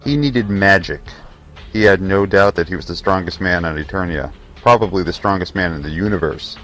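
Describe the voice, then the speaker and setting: A man's voice: sits low, brisk, a bit bright. A man narrating a scripted fantasy tale as the storyteller of an audio drama. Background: faint music.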